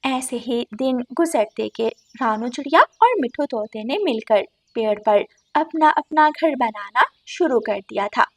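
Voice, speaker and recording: A woman's voice: a little high, measured pace, few pauses. A woman storyteller reading scripted narration for a children's tale.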